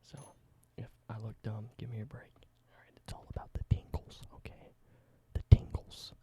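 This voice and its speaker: A young man doing a soft, halting ASMR whisper, slowly explaining everyday objects in simple words. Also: fairly low pitch, monotone. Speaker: ASMR creator.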